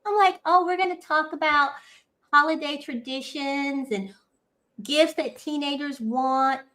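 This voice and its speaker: A woman in her forties hosts a relaxed online show from home. Her voice sits high and bright. She talks slowly, playful and full of banter over a video call. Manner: lively, playful, Southern-style "girl" and "y'all" banter. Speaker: podcast host. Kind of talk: casual livestream chat.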